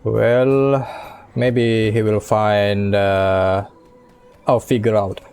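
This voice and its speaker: A man streams a video game in a casual, unhurried way. His voice is soft-edged and mellow, and he talks haltingly with muttered asides. Game music plays faintly behind him. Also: lowish voice, level tone, clear, resonant tone. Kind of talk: casual gameplay commentary, reading on-screen text.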